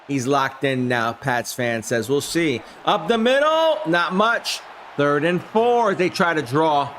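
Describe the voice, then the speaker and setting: A man with a somewhat high voice, hoarse and worn down by the flu, calls a video game football simulation play by play for his live stream. He shouts when a big play hits and drifts into easy chat with his viewers between snaps. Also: very animated, takes it slowly, a little hiss or hum.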